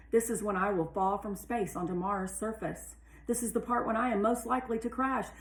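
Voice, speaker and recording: A woman reading a children's novel aloud with animated, deliberate storytelling in a roomy recording. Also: mid-range voice. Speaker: read-aloud narrator. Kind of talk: expressive children's audiobook narration. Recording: roomy, echoey sound.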